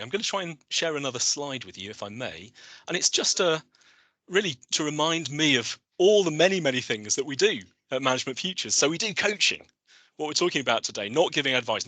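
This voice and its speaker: Man, webinar host, enthusiastic, bright forceful voice, very lively pitch, dry sound. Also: no echo. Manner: enthusiastic, animated, with frequent restarts. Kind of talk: presenting a webinar with slides. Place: online video call.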